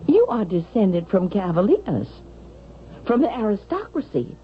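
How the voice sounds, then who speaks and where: An actress playing a cantankerous, snobbish older matriarch in theatrical vintage radio drama style. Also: female voice, mid-range voice, sing-song, a little slow, dull audio. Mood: scornful, imperious. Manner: haughty, theatrical. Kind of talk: 1970s-80s radio drama acting. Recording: thin band-limited vintage radio, hissy.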